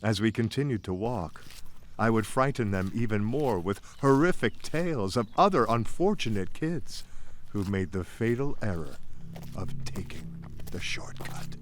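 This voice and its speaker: Low, highly animated male storyteller. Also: a little slow. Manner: theatrical, folksy, playful. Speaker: story narrator. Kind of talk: dramatic scripted first-person storytelling. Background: music bed.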